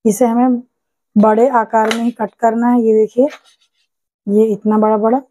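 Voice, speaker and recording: A woman's voice: fairly high pitch, flat delivery, unhurried, warm tone, slight background noise, poor audio. A woman hosting a cooking channel, patiently walking viewers through a recipe step by step and often calling them friends. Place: home kitchen.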